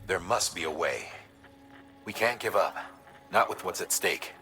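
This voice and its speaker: A male mission commander from a video game cutscene. His low voice is measured and earnest, offering steady encouragement, with music playing clearly behind him. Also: full character voice. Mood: earnest, resolute.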